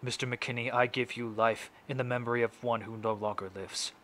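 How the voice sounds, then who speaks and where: A grieving, resolute father played by a young man delivers a solemn stage monologue in measured phrases. The voice is medium in pitch, with noticeable noise from an amateur home recording. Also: steady tone, a bit bright, lightly acted. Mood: stern, resolute. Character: grieving, resolute middle-aged father addressing his son's killer. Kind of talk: dramatic stage monologue recitation.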